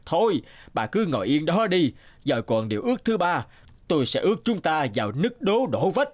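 Theatrical, swooping greedy rich fellow. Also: male voice, on the high side, very animated, normal speed. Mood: stubborn, grudging. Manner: theatrical, hesitant muttering and exclaiming. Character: fat greedy rich husband, flustered, scheming, whining. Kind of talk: children's fairy-tale cartoon dub.

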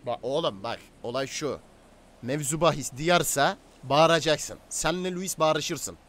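A man playing a commanding, stern gang boss in improvised game roleplay, domineering and quick to order and threaten. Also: fairly high pitch, fairly bright tone. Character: commanding male crime boss, stern and menacing.

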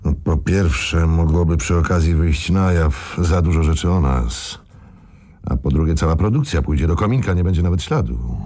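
Gravelly, deep cocky gang boss. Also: male voice, moderate pace, darkish tone. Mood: scheming. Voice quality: gravelly, husky. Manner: colloquial, self-assured. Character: scheming male gang boss, cocky, mocking, in command. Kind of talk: radio crime drama acting.

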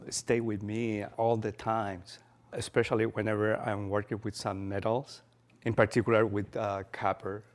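A man from Chile presenting his own artwork to a room of people, talking slowly and haltingly with many pauses and "uh"s. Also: lowish voice. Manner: halting, pauses with "uh", soft-spoken. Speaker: guest artist presenting his work. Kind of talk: slow, unhurried artist talk with fillers.

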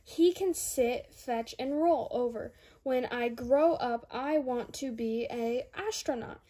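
A woman's voice: on the high side, takes it slowly, warm tone. A young girl voicing a chatty, eager five-year-old pig girl from a storybook. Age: child.

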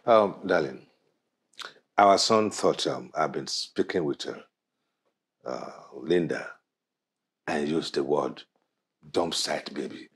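An actor with a Nigerian accent playing a stern, mature father who speaks with deliberate authority in a screen drama. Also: rumbling low, husky, heavily acted. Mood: measured. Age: middle-aged or older. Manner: deliberate, commanding.

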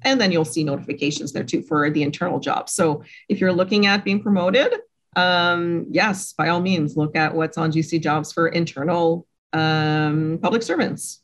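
A woman's voice: deep voice, moderate pace. A middle-aged woman, a native French speaker, explaining hiring processes on a webinar in a conversational, hesitant way with many fillers. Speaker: staffing expert, panelist. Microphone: video call.

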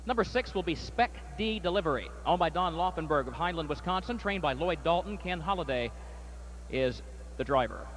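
A man calls a harness race over the track speaker, his voice pitched high for a man. He reads the field evenly and then rises to a near shout as the horses come home. A faint hum of fairground noise sits under him. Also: animated, low-quality recording. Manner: rapid, rising to shouts at the finish. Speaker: track announcer. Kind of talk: harness race call and winner's circle interview.

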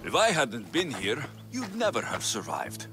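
An actor playing a tough, self-assured Greek mercenary in a video game, with a blunt, dry, confident delivery. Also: male voice, mid-range voice, huge pitch swings, measured pace, over music. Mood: defiant. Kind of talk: dramatic video game cutscene acting.

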